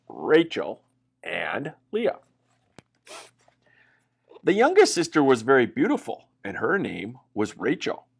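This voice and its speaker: Animated man telling children's Bible stories. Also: on the high side, gravelly. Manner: dramatic, expressive delivery with exaggerated emphasis.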